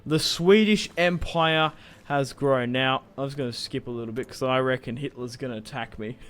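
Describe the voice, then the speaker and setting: A man's voice: mid-range voice, normal speed, fairly bright tone, clean tone. A young man casually commentating a strategy game he plays, chatty and rambling, swearing now and then. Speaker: gaming YouTuber. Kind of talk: casual let's-play commentary.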